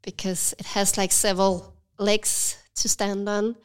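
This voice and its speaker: Danish woman with a cold, smooth voice. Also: medium pitch, little pitch movement, unhurried. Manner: thoughtful, hesitant with frequent fillers. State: has a cold, slightly stuffy. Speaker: cafe and yoga studio owner, guest. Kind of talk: casual interview conversation.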